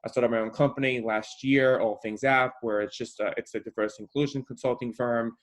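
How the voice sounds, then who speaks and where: A man's voice: medium pitch, fairly even intonation, fairly quick. A man hosting a webinar panel over a video call, hesitant with a slight stutter and many fillers. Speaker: host and moderator. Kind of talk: casual webinar moderation.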